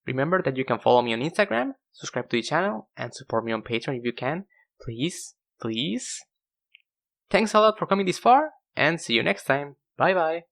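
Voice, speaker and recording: A young man with as his language hosts a casual, comedic video. His voice sits on the high side for a man and swings widely in pitch as he pokes fun at himself. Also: moderate pace, vocal fry. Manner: self-deprecating, animated. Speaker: video host. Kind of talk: casual scripted comedic narration to viewers.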